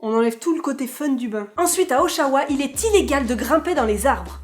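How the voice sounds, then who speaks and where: A woman's voice: sits high, lively intonation, brisk. A young woman hosting a casual vlog, playful and chatty, with joking asides. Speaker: vlogger host.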